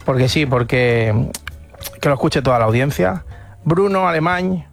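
A man's voice: fairly high pitch, animated, normal speed, gravelly, soft background music. A man with an accent from Spain hosting a radio show in casual, joking banter. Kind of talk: casual comic banter and presenting.

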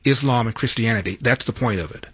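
A man hosting a radio talk show, taking calls and arguing his points in a measured way. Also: medium pitch, husky, no room sound. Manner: measured, argumentative, repeats himself when interrupted.

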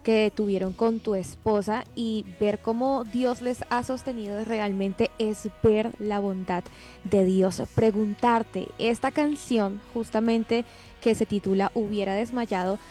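A woman hosting an interview who asks her questions in a formal, reverent, measured way. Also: medium pitch, steady tone, dark tone, soft background music. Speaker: host.

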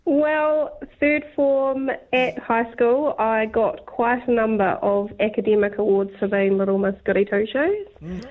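A woman's fairly high voice comes down a dull phone line as she calls a radio show. She chats easily and pokes fun at herself. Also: unhurried. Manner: chatty, self-mocking. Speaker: caller. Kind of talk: phone-in chat.

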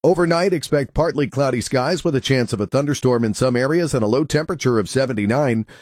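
A man's voice: medium pitch, fast, no room sound. Male meteorologist, dry studio read. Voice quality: even, informative. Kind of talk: weather forecast read.

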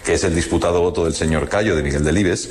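A man's voice: low-pitched, fast talker. A man from Madrid with a Madrid accent, musing in a lyrical, literary way and repeating words for emphasis in a reflective radio conversation. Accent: Madrid Spanish. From Madrid, Spain. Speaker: guest commentator on literature.

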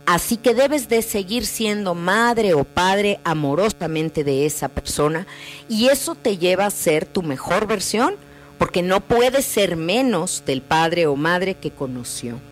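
A woman counselor speaking gently and reassuringly in a radio message about grief. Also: lowish voice, lively intonation, measured pace, faint music behind. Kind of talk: calm spoken radio message.

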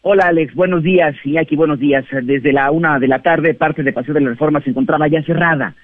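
A man with a Mexican accent filing a scripted news report in a steady narrating voice. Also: fairly high pitch, fast. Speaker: field reporter. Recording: tinny phone line.

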